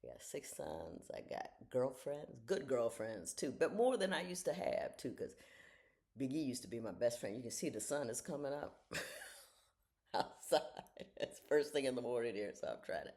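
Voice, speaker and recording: A woman in her sixties talking casually to viewers from home in the early morning, giving frank, folksy advice and sometimes dropping to a soft half-whisper. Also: extremely low pitch, big pitch swings, normal speed. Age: 60s. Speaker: retired judge giving life advice. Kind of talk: casual reflective talk to viewers. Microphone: camera she leans toward.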